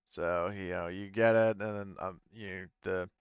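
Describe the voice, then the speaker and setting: Smooth, low-voiced man, hesitant game master. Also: fairly even intonation, unhurried, dry recording, lo-fi sound. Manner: tentative, hedging, thinking aloud. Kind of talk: improvised tabletop game narration. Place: indoors, online voice call.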